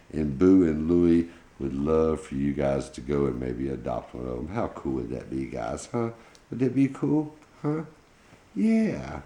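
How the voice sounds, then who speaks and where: A man with a low, very mellow voice tells a homespun bedtime story. His phrasing is folksy and off the cuff, and the room adds a noticeable echo around him. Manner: folksy, colloquial grammar. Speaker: pet channel host telling a bedtime story. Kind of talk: informal improvised children's storytelling.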